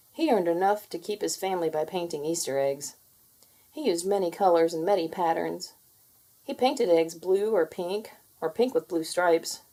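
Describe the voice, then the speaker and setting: A woman narrating a children's storybook in an expressive, deliberate read-aloud manner. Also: on the low side.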